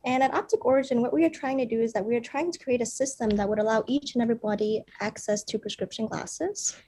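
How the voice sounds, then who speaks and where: A young woman from Vietnam, a startup founder, gives an earnest pitch with frequent fillers. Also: quick pace, dark tone, husky. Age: young adult.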